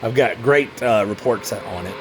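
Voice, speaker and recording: A man's voice: on the low side, normal speed, over music. A man giving a casual, rambling hands-on product demo, muttering to himself as he goes. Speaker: radio seller demonstrating a CB radio.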